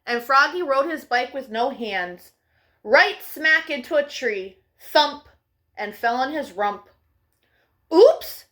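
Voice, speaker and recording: A woman's voice: high voice, expressive, takes it slowly, bright, forceful tone. A woman hosting a children's storytime, reading picture books aloud expressively, with playful sound effects. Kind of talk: animated picture-book read-aloud for young children.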